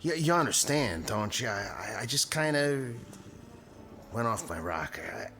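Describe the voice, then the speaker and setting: Flustered neighbor, radio drama. Stammering, apologetic, highly animated intonation. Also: male voice, measured pace. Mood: sheepish pleading. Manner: stammering, pleading. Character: sheepish, flustered suburban neighbor, eager to be forgiven.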